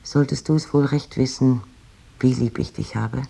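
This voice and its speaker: Husky, lovesick letter writer. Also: male voice, medium pitch, steady tone, normal speed, warm tone, low-quality recording. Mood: wistful, tender. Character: lovesick, yearning letter writer pining for a distant beloved. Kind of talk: dramatic reading of 19th-century letters.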